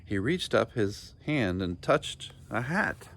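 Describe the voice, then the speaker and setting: A man narrating a children's storybook in an expressive, deliberate and dramatic storytelling style. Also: on the low side, creaky, slight background noise. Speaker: storybook reader.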